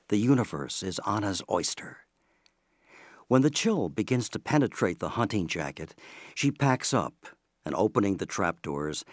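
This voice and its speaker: A man narrating an audio play in a scripted, measured storytelling manner. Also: low-pitched, no echo, clean studio sound.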